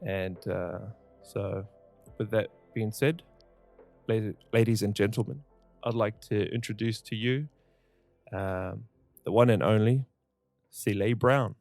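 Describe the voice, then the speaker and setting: A man's voice: on the low side, slow, gravelly, long pauses, darkish tone, no echo, faint music behind. A man hosting his own podcast, earnestly explaining his plans and then interviewing his mother, with frequent hesitations. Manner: earnest, hesitant, many fillers. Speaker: podcast host. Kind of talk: casual podcast intro and interview.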